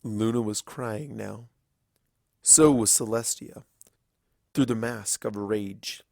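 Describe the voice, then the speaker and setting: Slow, deep-voiced man narrating dramatic fiction. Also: vocal fry, darkish tone, dry recording, clean studio sound. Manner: grave, deliberate storytelling with dramatic pauses.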